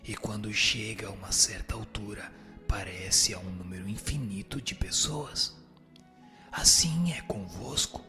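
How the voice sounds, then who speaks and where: A man voicing a solemn spirit who teaches in a measured, declamatory, didactic way in a radio drama. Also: fairly low pitch, bright, forceful tone, soft background music. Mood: solemn, exhorting. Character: solemn male spirit answering questions and dictating teachings. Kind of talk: dramatized reading of a spiritist text.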